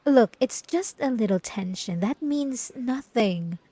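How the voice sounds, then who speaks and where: A woman playing a possessive, obsessive ex-girlfriend in an ASMR roleplay, with drawn-out, cooing condescension and sweet menace. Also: fairly high pitch, expressive, dark tone, husky, dry recording, full character voice. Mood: possessive, coaxing. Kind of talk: ASMR roleplay monologue to the listener.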